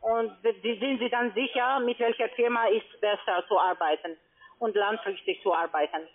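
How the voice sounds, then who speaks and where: Woman in her 40s, mid-pitched, asks lots of simple questions, tinny phone sound. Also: fairly even intonation. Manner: questioning, simple halting phrasing. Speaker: telephone sales agent for an investment scheme. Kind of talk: conversational phone sales talk. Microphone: telephone. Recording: tinny, narrow phone line.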